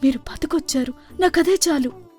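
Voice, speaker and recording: Woman voicing a wailing, remorseful wife, high-pitched. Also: normal speed, darkish tone, faint music behind, natural voice. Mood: tearful pleading. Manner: wailing, pleading. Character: grief-stricken, remorseful wife, weeping and pleading.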